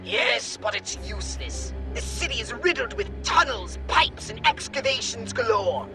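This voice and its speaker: A prim game-cutscene advisor to an alien invader, high-voiced over loud music. The delivery is wordy and formal, with lively pitch swings carrying dry scorn. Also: female voice, unhurried. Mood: dry, disdainful. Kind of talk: video game cutscene voice acting.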